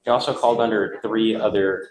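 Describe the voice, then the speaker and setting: A man reporting formally to a judge as prosecutor in an echoey courtroom, halting and matter-of-fact. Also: fairly low pitch, fairly even intonation, a crowd in the background. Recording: echoey courtroom, faint noise.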